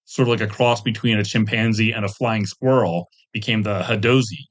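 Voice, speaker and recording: A man's voice: fairly low pitch, fairly even intonation, a little fast. A middle-aged or older man speaking as a podcast guest, chatting casually and discursively about his hobby, with asides and hedged recollections. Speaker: podcast guest, veteran game designer. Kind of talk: casual interview conversation about tabletop gaming.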